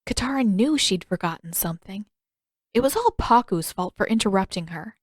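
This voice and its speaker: A woman narrates a piece of fan fiction. Her voice sits at an average pitch for a woman. The sound is close and very dry, with hardly any room in it. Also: animated, moderate pace, no echo. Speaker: fan fiction author and reader. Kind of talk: scripted story narration.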